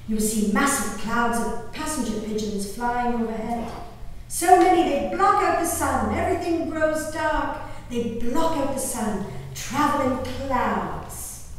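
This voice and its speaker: High-voiced, slow genteel colonial lady played by actress, echoey hall. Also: natural voice. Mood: tender, wistful. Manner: theatrical, measured, with exclamations and pauses. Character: genteel colonial wife and mother, devoted, anxious, grieving. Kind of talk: dramatic stage monologue reading of a diary. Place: reverberant performance hall. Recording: echoey hall from far off, background noise.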